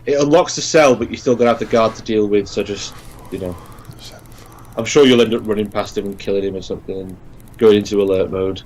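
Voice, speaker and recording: A man's voice: lowish voice, fast talker, a little mellow, rough recording. Man chatting over a game, some background noise. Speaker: let's play co-commentator. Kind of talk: casual gaming commentary chat.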